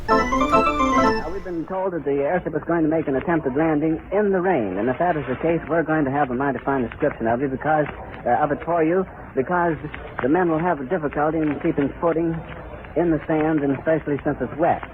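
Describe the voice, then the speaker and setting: A man's voice: a little high, fairly quick, lo-fi sound, background noise. A man giving formal, descriptive live radio news commentary in 1930s style, on an old archive recording. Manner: formal, descriptive on-the-spot reporting.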